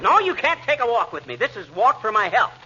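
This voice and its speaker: An American actor playing a grumpy, folksy, blustering husband in an old radio comedy. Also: male voice, extremely high pitch, big pitch swings, brisk. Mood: irritable. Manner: folksy, irritable, grumbling. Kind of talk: 1940s radio comedy acting. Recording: muffled old radio recording, hiss, studio audience.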